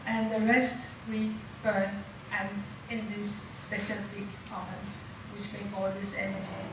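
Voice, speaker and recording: A woman with a Danish accent speaking as a panelist in an informal talk, halting and full of fillers. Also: mid-range voice, flat delivery. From Denmark. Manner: halting, with many fillers and soft laughs. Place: lecture room. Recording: echoey room from a distant mic, noisy.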